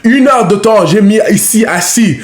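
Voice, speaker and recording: A man from Canada whose first language is English, reacting to videos in casual French. He talks in a halting way, repeating words and dropping in English fillers. His voice sits high and bounces around an echoey room. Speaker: reaction streamer.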